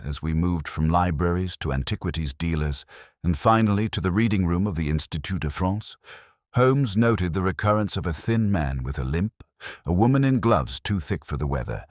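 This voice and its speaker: A man's voice: deep voice, no room sound, studio quality. A man reading a scripted story aloud as an audiobook narrator.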